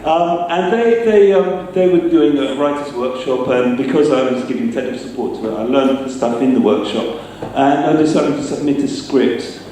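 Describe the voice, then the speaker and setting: A middle-aged man giving an informal talk, speaking hesitantly with pauses mid-phrase. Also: mid-range voice, warm tone. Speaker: sound designer giving a talk. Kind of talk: informal public talk with slides. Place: large echoey room, speaking to an audience. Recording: distant, very echoey room with some noise.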